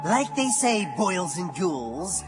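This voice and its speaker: Undead crypt keeper, shrill cackle, gleefully macabre, very slow delivery, music behind, radio horror show. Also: male voice, sing-song, full character voice. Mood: macabre glee. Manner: drawn-out, gleeful punning. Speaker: horror anthology host. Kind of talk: theatrical horror host narration.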